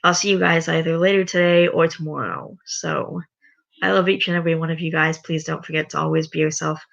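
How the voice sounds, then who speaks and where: A livestreamer reading a story aloud and chatting to viewers, voice going raspy. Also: medium pitch, fairly quick, a bit bright, highs cut off. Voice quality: voice getting raspy. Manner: rambling, self-correcting. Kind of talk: reading a story aloud, then casual chat.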